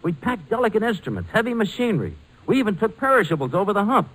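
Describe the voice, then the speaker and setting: A man's voice: high-pitched, sing-song, fairly bright tone, breathy edge, full character voice, low-quality recording. An actor playing an eager young ex-military cargo pilot in a 1940s radio drama, earnest and insistent as he makes his case. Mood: earnest, persuasive. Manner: earnest, insistent, fast-talking salesmanship. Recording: old 1940s radio broadcast recording.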